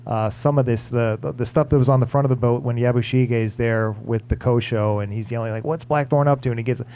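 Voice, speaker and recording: A man's voice: medium pitch, fairly even intonation, brisk, mellow. A man in his forties, a visual effects supervisor, talking on a theater stage into a handheld mic, hesitant with many fillers and restarts. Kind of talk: panel conversation on stage. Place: theater stage before an audience. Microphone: handheld microphone.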